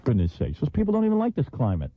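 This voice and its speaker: A man's voice: a little high, huge pitch swings, rapid-fire, dry recording. A man hosting a radio show in casual banter, making sarcastic, joking remarks, on a lo-fi, phone-like broadcast recording. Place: New York radio studio.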